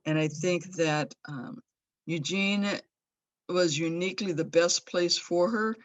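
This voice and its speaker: A woman's voice: lowish voice, slow pace, no room sound. A woman in her sixties from Los Angeles, sharing fond, rambling memories of an old friend over a video call. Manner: warm, rambling, with fond laughs. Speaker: memorial speaker. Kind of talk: personal remembrance. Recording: dry video call audio.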